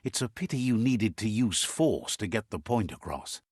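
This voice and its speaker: Low-voiced male storyteller narrator. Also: expressive, darkish tone, breathy edge, dry recording, clean studio sound. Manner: measured, storytelling, relays characters' speech. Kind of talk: scripted storybook game narration.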